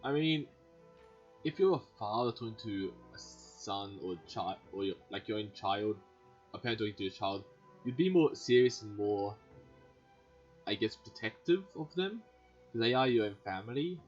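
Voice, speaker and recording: A man's voice: on the low side, expressive, normal speed, slightly rough. A young man giving casual let's-play commentary on a muffled, lo-fi voice track. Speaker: gaming video creator. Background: faint game music.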